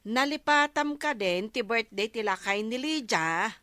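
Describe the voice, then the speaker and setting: A woman's voice: on the high side, lively intonation, a little slow, bright, forceful tone, no room sound, studio quality. A woman acting a naive, plaintive village wife in an Ilocano comedy drama, with a Filipino Ilocano accent and protesting interjections. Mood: chatty, insistent.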